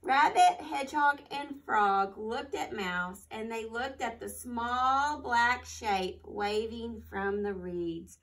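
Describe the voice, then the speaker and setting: A woman's voice: on the high side, slow, hardly any pauses, a bit bright, recorded from a distance, poor audio. A woman hosting a storytime for young children, reading aloud and talking to them in simple phrases and questions. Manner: simple instructions and questions addressed to small children. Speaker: children's library storytime host.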